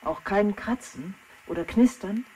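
A woman's voice: medium pitch, unhurried, darkish tone, slightly rough. A female performer in a children's radio play playing a terse, unflappable young agent calling over a mobile phone line. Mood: terse, dry. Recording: noisy clicking mobile phone call.

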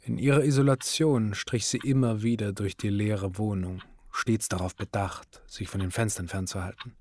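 An actor playing a grave, measured literary narrator in a radio play, with a husky voice. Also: male voice, on the low side, steady tone, a little mellow, no room sound, studio quality, close to an everyday voice. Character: grave literary narrator, also the concerned husband. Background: music.

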